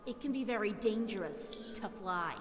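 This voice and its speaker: A woman's voice: medium pitch, animated, lightly acted. Woman as a menacing nurse pony, heavily echoing. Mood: quiet menace. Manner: condescending, deliberate. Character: sinister, falsely sweet nurse pony, menacingly condescending. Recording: echoey long reverb, faint music.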